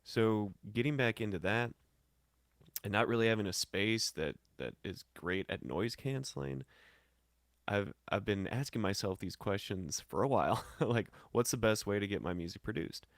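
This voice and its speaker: An American man from St. Louis telling casual stories as an interview guest, in slangy, animated talk. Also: lowish voice, normal speed, dry recording, clean studio sound. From St. Louis, Missouri, United States. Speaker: podcast guest, singer and musician. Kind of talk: casual conversational storytelling.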